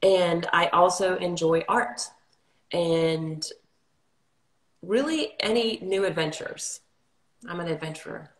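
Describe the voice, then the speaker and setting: A middle-aged woman from New Orleans talks through her work in a slightly low voice. She is articulate and unhurried, like a guest on a livestream interview. Her voice echoes, since she is talking over a video call from a room at home. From New Orleans, Louisiana. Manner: articulate, steady storytelling. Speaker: guest, color consultant.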